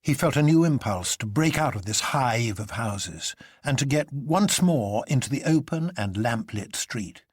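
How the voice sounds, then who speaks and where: Male narrator, for classic fiction audiobook. Highly varied intonation, husky edge, measured dramatic delivery. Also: no room sound, studio quality. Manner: expressive, measured storytelling. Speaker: narrator reading a novel.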